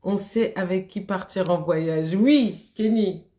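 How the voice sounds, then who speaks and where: A woman's voice: medium pitch, slow pace, dark tone, reverberant. A woman hosting a live stream, chatting casually with viewers, laughing often and greeting them by name.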